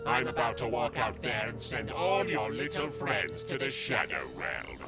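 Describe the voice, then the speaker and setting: A man's voice: mid-range voice, sing-song, unhurried. A man voicing a gleefully menacing, insane villain in a cartoon parody, theatrical and taunting. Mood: taunting menace. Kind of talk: comedic anime parody dub. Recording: background music under dialogue.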